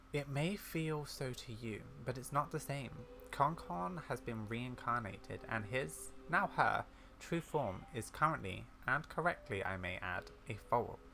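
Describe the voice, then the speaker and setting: A man's voice: mid-range voice, vocal fry, bright, forceful tone. A man reading a game's quest dialogue aloud in a storytelling manner, acting out the characters' lines. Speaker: gaming YouTuber.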